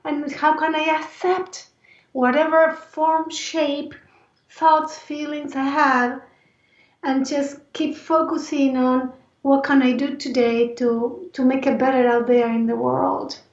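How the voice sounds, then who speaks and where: A woman from Argentina with an Argentine Spanish accent and a raspy voice, speaking earnestly and reflectively as an interview guest. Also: sits high, level tone, slow pace. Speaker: interview guest, life and movement coach. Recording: roomy echo, distant mic, dull sound.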